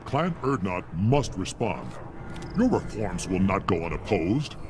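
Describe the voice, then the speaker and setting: An actor playing a stern, defiant alien clan rival in a video game, formal and forceful. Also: male voice, low-pitched, very animated, a little slow, music in the background. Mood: challenging.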